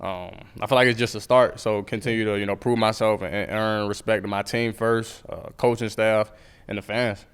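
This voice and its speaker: A young man in his twenties, a football player guest, talking in a relaxed, slangy way and laughing easily. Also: lowish voice, normal speed, clean tone. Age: 20s. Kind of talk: casual interview chat.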